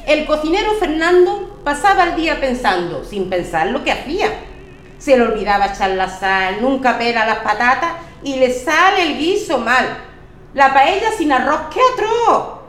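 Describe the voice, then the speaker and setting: A woman from Spain reading poems aloud, animated and storytelling, voicing the quoted lines. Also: high voice, normal speed, reverberant, a little hiss or hum. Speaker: poetry reciter at a community reading. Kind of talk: expressive poetry recitation.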